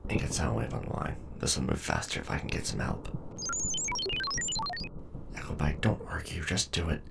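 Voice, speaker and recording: A man playing a gruff, loyal, war-weary Decepticon robot soldier in an ASMR audio drama, with a deep gravelly voice. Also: big pitch swings, moderate pace, over loud music, heavily acted. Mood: defiant, gruff.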